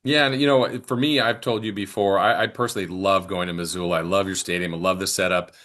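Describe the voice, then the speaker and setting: A man's voice: low-pitched, fairly even intonation, fast talker, fairly bright tone. A man hosting a sports podcast, interviewing in a conversational style.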